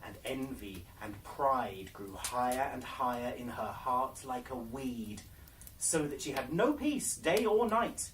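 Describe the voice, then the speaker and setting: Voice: medium pitch, lively intonation, takes it slowly, distant mic, a little hiss or hum, rough recording. A storyteller narrating a fairy tale in a dramatic, theatrical storybook style.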